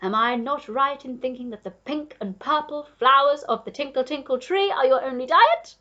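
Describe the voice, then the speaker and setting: A grand storybook Duke, performed by a woman, loud and exuberant, with very lively swings of pitch. He is an emphatic, generous aristocrat, pitched high. The audio is slightly muffled. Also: normal speed, exaggerated voice.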